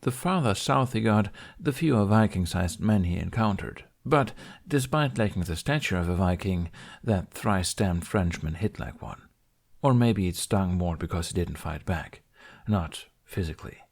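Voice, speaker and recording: A man's voice: low voice, expressive, moderate pace, vocal fry. A man narrating fiction as an audiobook reader, reading from a script.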